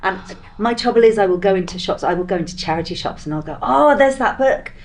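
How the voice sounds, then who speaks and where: A woman's voice: medium pitch, lively intonation, fast. A woman chatting casually as a panel guest, in a chatty, self-effacing way. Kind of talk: casual chat.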